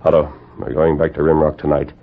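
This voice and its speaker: Very deep-voiced masked cowboy hero. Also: male voice, completely flat delivery, creaky, full character voice. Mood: calm, probing. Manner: measured, authoritative, articulate. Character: masked cowboy hero, calm, commanding, sharp-minded adult man. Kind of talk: vintage radio western acting. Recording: muffled, faintly noisy old radio recording.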